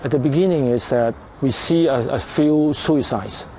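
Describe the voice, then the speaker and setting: A man speaks as an executive being interviewed. His pitch is average and his phrasing is halting and conversational. There is clear ambient noise around him. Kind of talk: unscripted interview answers.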